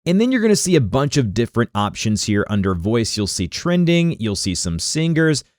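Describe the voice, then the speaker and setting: A man's voice: mid-range voice, big pitch swings, normal speed, darkish tone, no echo, studio quality. A man hosting an instructional how-to, explaining steps informally as he narrates a screen recording. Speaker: tutorial host.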